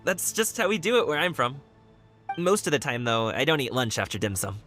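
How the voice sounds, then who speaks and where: Man as a young man, mid-pitched voice, relaxed and friendly, soft game music behind. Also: brisk, close to an everyday voice. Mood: cheerful. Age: young adult. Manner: friendly, laid-back and candid. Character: easygoing young man, wushu dancer and guard. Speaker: game character. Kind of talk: video game voice acting.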